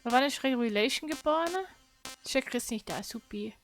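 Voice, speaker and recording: A woman with a fairly high voice talks casually through a video game playthrough, half to herself. Faint game music plays behind her. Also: lively intonation, a little fast. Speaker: gamer doing a playthrough. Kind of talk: casual let's play chat.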